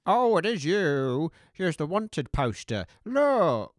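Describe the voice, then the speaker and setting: Nervous accusing bank manager played by a man, slow, swooping intonation, dramatic comedy sketch. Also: fairly high pitch, very animated, no room sound, clean studio sound. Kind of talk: comedy audio sketch acting.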